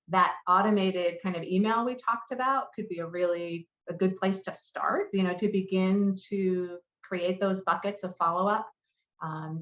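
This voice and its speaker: A woman's voice: lowish voice, fairly bright tone. A woman giving a business presentation and answering questions as a marketing lead. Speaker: conference presenter, head of customer marketing. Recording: roomy echo, slightly muffled sound.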